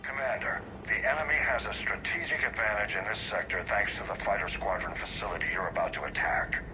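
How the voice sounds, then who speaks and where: A man's voice: on the low side, fairly quick, loud music behind the voice, lightly acted, low-quality recording. A man playing a grave, authoritative senior military officer in a video game, giving formal briefings. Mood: urgent, commanding. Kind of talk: dramatic video game acting.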